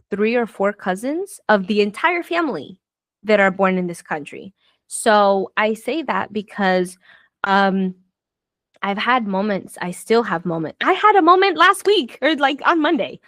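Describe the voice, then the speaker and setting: A woman's voice: mid-range voice, a bit bright, dry recording, studio quality. A woman raised in Southern Florida whose first language is Spanish, talking reflectively in a casual conversation, with many fillers and restarts and bursts of exasperation. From United States, raised in Southern Florida.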